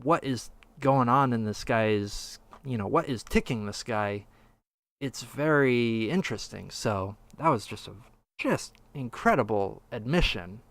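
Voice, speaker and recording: A man's voice: mid-range voice, expressive, a little slow, fairly bright tone, dry recording. A man hosting a podcast, chatting casually and offering commentary into a streaming microphone. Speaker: podcast host.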